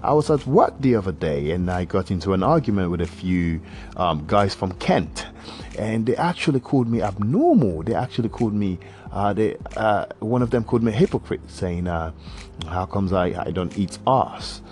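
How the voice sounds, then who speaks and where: Rambling low-voiced man podcasting. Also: normal speed. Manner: rambling and hesitant, full of uhs and restarts; swings between animated outbursts and low mutters. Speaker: podcast host. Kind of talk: casual solo podcast monologue.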